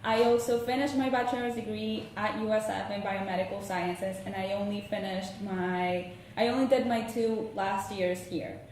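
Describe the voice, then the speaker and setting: A woman in her twenties with a Venezuelan Spanish accent, a graduate student answering an interview question in an earnest, measured way. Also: medium pitch, steady tone, a little mellow, slight background noise. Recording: echoey room, voice from a distance.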